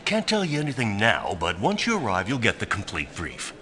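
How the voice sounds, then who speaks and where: An actor playing a glib, patronizing spy agency boss with a jovial manner in a video game. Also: male voice, fairly low pitch, wildly swooping pitch, a little fast, pushed and bright, exaggerated voice. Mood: glib, placating.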